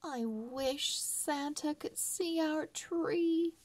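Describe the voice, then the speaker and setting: Storybook little girl read by a woman: eager, wistful, emphatic, very slow, raised pitch. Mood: wishful.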